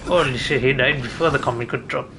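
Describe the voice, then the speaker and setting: A man's voice: medium pitch, fast, gravelly, noisy background. A man giving casual gameplay commentary as a streamer, musing and thinking aloud to himself. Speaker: game streamer. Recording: game music behind the voice.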